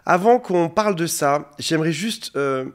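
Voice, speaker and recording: A man in his forties with a head cold and a slightly hoarse voice, speaking as a relationship coach in an earnest, direct monologue to the camera. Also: on the high side, expressive, measured pace. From France. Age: 40s. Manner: earnest, direct, addresses viewer informally. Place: indoor studio under bright lights. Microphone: facing a camera.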